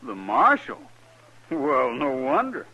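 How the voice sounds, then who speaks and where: An actor with an American accent playing a stubborn, defiant young gunslinger in a 1950s radio western, terse and folksy. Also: male voice, medium pitch, huge pitch swings, slow, sharp tone, husky, heavily acted. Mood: awed. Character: brash, stubborn young male gunslinger seeking revenge. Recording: muffled old radio recording.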